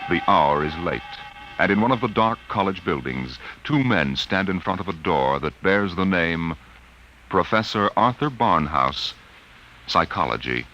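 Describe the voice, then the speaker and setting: A man's voice: low voice, expressive, unhurried, sharp tone, muffled recording, slight background noise. An American man announcing and narrating a vintage radio drama in a solemn, portentous, scripted style. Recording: vintage radio broadcast over music.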